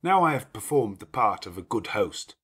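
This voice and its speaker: Guy doing gruff bossy middle-aged gentleman from an old novel, sardonic and wordy, kinda husky. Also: fairly low pitch, big pitch swings, normal speed, exaggerated voice. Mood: imperious, satisfied. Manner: commanding, sardonic, elaborately phrased. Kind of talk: dramatic audiobook character dialogue.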